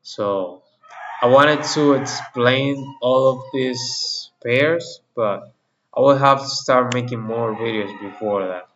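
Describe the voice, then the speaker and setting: Man, native Spanish speaker, slow deliberate delivery, muffled recording, faint noise behind. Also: medium pitch, a bit bright. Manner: unhurried, repeats words, restarts phrases. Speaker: trading channel host. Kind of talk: casual screen-share trade review.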